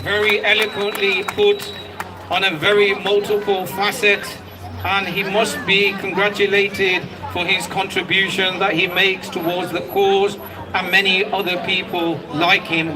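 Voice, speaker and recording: Man hosting a rally, loud and unhurried, high voice, distant mic over crowd. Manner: loud, self-described big voice, leads shouted chants. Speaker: rally host. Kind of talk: rally compering and chanting. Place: outdoor street rally. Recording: open-air rally from far off, music and crowd.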